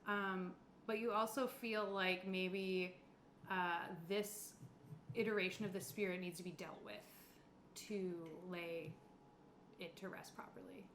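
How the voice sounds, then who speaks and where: A woman with a mid-pitched voice runs a tabletop game, narrating in a casual, explanatory way. The room adds clear echo and there is some background noise. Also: steady tone, a little slow, fairly bright tone. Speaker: game master (Keeper) of a tabletop game.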